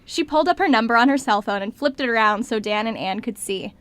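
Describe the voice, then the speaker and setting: High-voiced woman narrating, dry sound. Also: lively intonation, quick pace, a bit bright, dry recording. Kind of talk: scripted story narration in amateur radio play.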